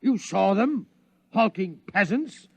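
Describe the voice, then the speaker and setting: An actor playing a pompous, impatient military inspector who barks and sputters in a 1950s radio drama. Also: male voice, sits high, animated, slow pace, vocal fry, breathy edge, no echo, full character voice. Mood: indignant. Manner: pompous, barking, indignant. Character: blustering, impatient male military inspector from Earth. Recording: dull vintage radio recording.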